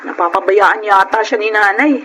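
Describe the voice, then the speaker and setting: An actress playing a resentful, hardworking adult daughter in a Filipino radio drama. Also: female voice, medium pitch, moderate pace, fairly bright tone, slight background noise, close to an everyday voice, rough recording. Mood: reproachful. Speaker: actress narrating and playing a lead character.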